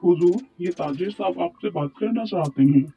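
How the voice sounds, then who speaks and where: A man's voice: high-pitched, lively intonation, normal speed, warm tone, breathy edge, exaggerated voice. A man playing a deferential royal minister in a cartoon story, speaking in a courtly, formal way. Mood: dutiful. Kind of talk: Urdu moral cartoon story dialogue. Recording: tinny phone-like sound, music bed.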